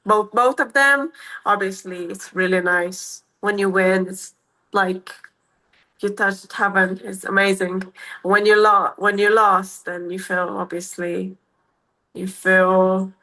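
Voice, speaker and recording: Slow-talking woman with a Romanian accent. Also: medium pitch, steady tone, sharp tone, rough recording. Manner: hesitant, many fillers and restarts. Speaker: MMA fighter, guest. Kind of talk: casual interview answers.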